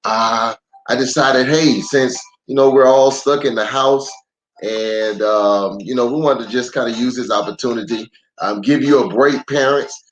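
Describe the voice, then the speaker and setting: A man's voice: medium pitch, fairly even intonation, normal speed. An American man, a pastor, chatting casually and welcomingly to families as he hosts a children's story time livestream. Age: adult parent of young children. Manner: welcoming, addresses viewers directly. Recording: dull lo-fi livestream audio with music.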